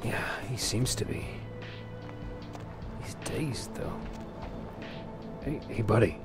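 A man improvising an in-character roleplay over a video game, muttering in a hesitant, halting way. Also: on the low side, expressive. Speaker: let's play roleplayer. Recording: loud game music behind. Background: game music.

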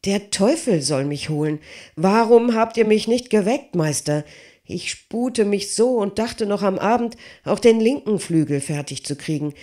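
A reader voicing a clumsy, childlike giant blacksmith who pleads loudly and desperately with his master. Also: mid-range voice, lively intonation, a little slow, pitched above the performer's own voice, natural voice. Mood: flustered. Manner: raised, loud, desperate pleading.

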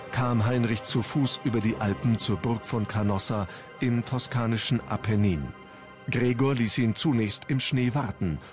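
A man's voice: lowish voice, a little mellow. Man narrating a history documentary over music. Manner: measured, formal storytelling delivery. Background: background music.

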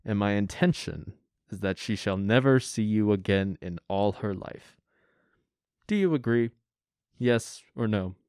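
A man plays a resolute, guarded fugitive in a radio drama. His voice is fairly low, with terse, commanding delivery. It is recorded dry. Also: unhurried, a little mellow, clean tone, no echo. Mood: stern, resolute. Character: stern, secretive, resolute male fugitive protecting a child.

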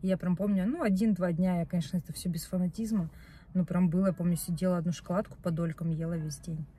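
A woman's voice: lowish voice, steady tone, fast, warm tone, a little hiss or hum. A young woman talking casually to camera from a parked car, rambling and often correcting herself as she goes. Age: young adult. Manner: chatty, rambling, self-correcting. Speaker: vlogger. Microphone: phone camera on dashboard.

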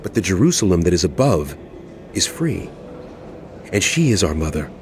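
An actor playing an earnest male apostle who pleads with and admonishes his flock, impassioned and deliberate, in a dramatized scripture reading. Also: low voice, sing-song, slight background noise. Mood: earnest, teaching. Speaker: actor in a dramatized audio Bible. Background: music.

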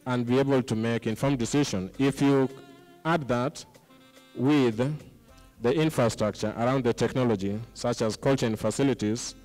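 A man's voice: medium pitch, a little mellow. A middle-aged man speaking as a panelist on a conference stage into a handheld microphone. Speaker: panelist, social entrepreneur. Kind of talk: panel discussion remarks. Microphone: handheld microphone close to mouth. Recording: reverberant hall sound.

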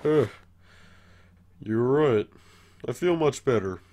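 A man's voice: medium pitch, expressive, slow pace, warm tone. A man voicing a laid-back, weary skeleton brother in a comic dub, with a casual, understated drawl. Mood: relieved. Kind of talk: animated comic dub acting.

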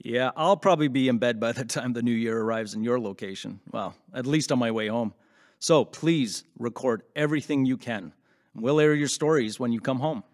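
An actor playing an upbeat, boyish radio show host who chats informally and with enthusiasm in an audio drama. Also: male voice, mid-range voice, normal speed, lightly acted. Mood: teasing, curious. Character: upbeat male late-night radio host, chatty and boyish.

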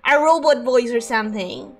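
A young woman streaming casually, her voice hoarse and ragged from sickness, giggling often as she reads chat and greets viewers. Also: on the high side, moderate pace.

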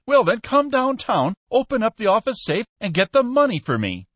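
A man's voice: sing-song, normal speed, fairly bright tone, dry recording, full character voice. A man voicing an exhausted, desperate man who pleads frantically and then rages. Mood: frantic pleading. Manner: frantic, pleading, high-strung.

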